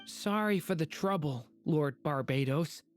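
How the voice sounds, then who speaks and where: A woman voicing a polite, innocent young boy in a fantasy game, softly earnest and a little hesitant. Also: deep voice, animated, measured pace, soft background music. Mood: polite, wondering.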